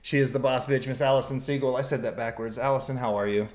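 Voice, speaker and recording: A man's voice: mid-range voice, fairly even intonation, quick pace, a little mellow. Playful, hypey man podcast host. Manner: playful, hypey announcer-style intros. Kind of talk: casual podcast hosting.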